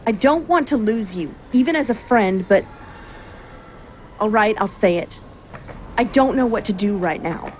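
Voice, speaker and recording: A woman's voice: mid-range voice, lively intonation, measured pace, long pauses, darkish tone, a little hiss or hum, close to an everyday voice. Woman acting an earnest, wistful young woman. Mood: tender, resigned. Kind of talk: audio drama acting.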